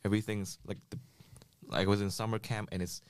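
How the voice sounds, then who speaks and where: A man's voice: fairly low pitch, level tone, quick pace, clear, resonant tone, dry recording. A young man from Vietnam chatting casually as a podcast guest, hesitant with many fillers and restarts. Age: young adult. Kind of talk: casual group chat.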